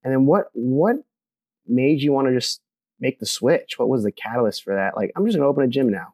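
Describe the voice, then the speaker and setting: A man's voice: medium pitch, animated, a little fast, darkish tone, dry recording. A man hosting a marketing podcast, welcoming listeners and interviewing a guest in an upbeat manner. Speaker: podcast host.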